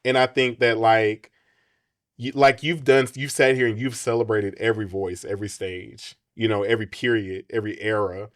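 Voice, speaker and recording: A man's voice: lowish voice, steady tone, normal speed. A man hosting a casual interview, speaking supportively with enthusiasm and casual slang. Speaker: interview host.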